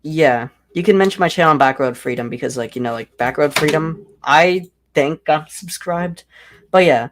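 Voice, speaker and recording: A man's voice: moderate pace, slight background noise. Rambling young man hosting a livestream. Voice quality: self-described deep voice. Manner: rambling, heavy use of "like". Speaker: livestream host. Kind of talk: casual livestream chat.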